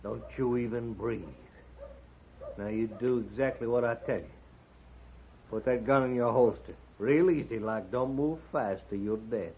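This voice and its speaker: An actor playing a vengeful, bullying American gunslinger villain, menacing and goading, in a 1950s Western radio drama. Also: male voice, mid-range voice, unhurried, natural voice. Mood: menacing gloating. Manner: menacing, goading, folksy drawled grammar. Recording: noisy, phone-like old radio recording.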